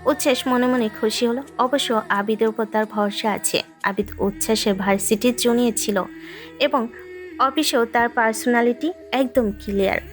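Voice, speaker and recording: A woman's voice: on the high side, normal speed. A woman reading a scripted romantic story as its narrator. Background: background music.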